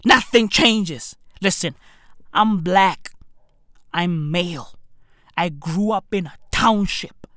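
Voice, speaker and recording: A comedian from Africa, delivering an emphatic, repetitive rant to camera, heavy with swearing and dropping at times to a whisper. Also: male voice, high-pitched, takes it slowly, pauses often, a bit bright, dry recording, clean studio sound. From South Africa. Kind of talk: ranting monologue to camera.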